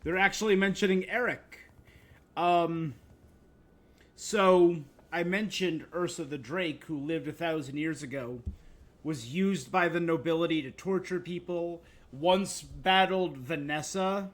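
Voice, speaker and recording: A man giving casual game commentary and explaining lore as a streamer, halting with pauses. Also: on the high side.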